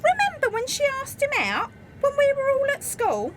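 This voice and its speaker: A woman's voice: very high voice, wildly swooping pitch, moderate pace, loud music behind the voice, exaggerated voice. A woman playing a gossipy, suspicious wartime factory worker in an amateur radio play, chatty and conspiratorial. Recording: loud background noise.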